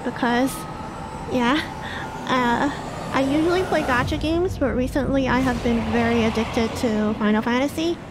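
High-pitched, flustered woman game streamer. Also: normal speed, background noise. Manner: excitable, flustered, exclamatory, repeats words in panic. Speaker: streamer, host; English literature teacher. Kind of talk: casual livestream gaming chat. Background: game music.

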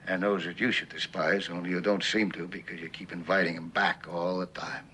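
An actor playing a bitter, disillusioned middle-aged executive husband in a television drama, with a halting, sardonic delivery. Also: male voice, deep voice, little pitch movement, pushed and bright, a little hiss or hum. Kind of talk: 1960s television drama acting.

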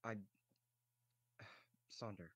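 A man improvising in-character dialogue as a roleplay player, in a halting, hesitant way. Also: fairly bright tone, no echo.

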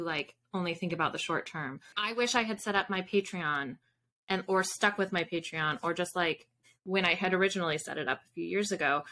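Bright-voiced woman chatting casually, slightly low pitch. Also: slight background noise. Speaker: guest, fiction author. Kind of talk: casual interview chat. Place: home room with bookshelves, on a video call.

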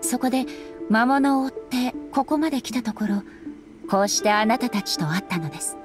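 A woman playing a solemn, dignified female ruler in an anime game, speaking formally and with measured politeness. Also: fairly high pitch, lively intonation, music in the background, natural voice. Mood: firm, formal. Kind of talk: anime game dialogue acting.

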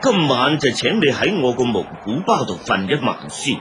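Actor as a swinging-voiced, hearty old Mongolian elder. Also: male voice, medium pitch, very animated, normal speed, lightly acted. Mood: respectful, welcoming. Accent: Hong Kong Cantonese. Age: older. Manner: chuckling, hearty. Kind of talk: Cantonese radio drama acting. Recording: radio rebroadcast with noisy ambience.

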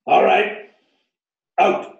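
An older actor playing a gruff, slangy, impatient corrupt jail guard in a radio mystery. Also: male voice, extremely slow, lo-fi sound, noisy background. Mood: gruff impatience. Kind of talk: old-time radio play acting. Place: home room, video call. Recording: roomy home video call.

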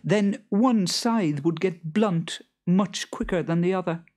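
A man voicing a farmer's clever wife in a storybook, calm and knowing. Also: high-pitched, takes it slowly, voice pushed up. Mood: shrewd.

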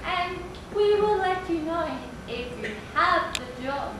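A woman's voice: extremely high pitch, lively intonation, slow, exaggerated voice. A woman playing a polite, businesslike job interviewer in a stage play. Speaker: stage actor. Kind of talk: live amateur stage play dialogue. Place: stage of a theatre with audience. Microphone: far camera. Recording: very echoey theatre from far off, noisy.

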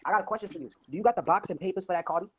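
A man's voice: high-pitched, crowd noise. A young man in his late teens, a rapper arguing on a phone live stream and repeatedly cutting in with "listen". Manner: rapid-fire, keeps repeating "listen" to cut in. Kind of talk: heated casual argument. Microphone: phone front camera.

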